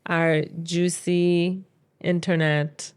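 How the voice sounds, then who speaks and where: A woman's voice: lowish voice, little pitch movement, drawn-out words, darkish tone, clean tone. A woman co-hosting a podcast in casual chatty talk, opinionated and emphatic as she gives advice. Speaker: podcast co-host.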